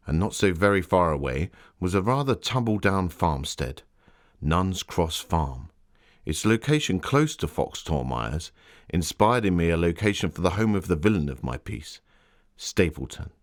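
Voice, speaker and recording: An actor playing a Victorian gentleman author, narrating his travels in a formal, literary, theatrical manner. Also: low voice. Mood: stimulated. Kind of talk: scripted dramatic narration.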